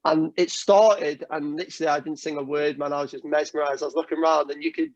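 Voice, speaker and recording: Guy chatting loose on a podcast, slightly higher voice, laughs a lot. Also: steady tone, fast talker, dry recording, muffled recording. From North Wales. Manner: laughs often, swears casually. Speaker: guest singer-songwriter. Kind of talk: casual podcast chat.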